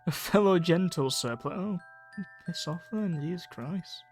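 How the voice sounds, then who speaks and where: A woman's voice: fairly low pitch, measured pace, darkish tone, faint music behind. A woman giving casual, reactive commentary while playing a game, muttering to herself and swearing mildly at it. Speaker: gamer narrating own playthrough.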